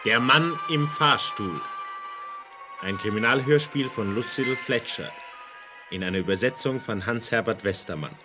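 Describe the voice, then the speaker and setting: A man's voice: medium pitch, normal speed, over music. A man announcing a radio drama in 1940s style, on an old distorted mono recording.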